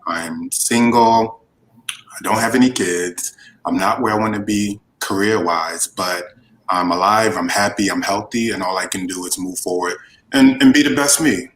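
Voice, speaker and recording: A man's voice: lowish voice, normal speed, a bit bright, low-quality recording. A man in his forties, a guest in a casual chat, talking frankly and animatedly about his life. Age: 40s.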